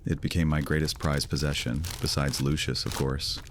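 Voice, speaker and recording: A volatile young vampire leader, played by a man, with an extremely deep, low voice. He broods, then turns cold and threatening. There is a faint hiss behind him. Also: flat delivery, normal speed. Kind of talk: audio drama acting.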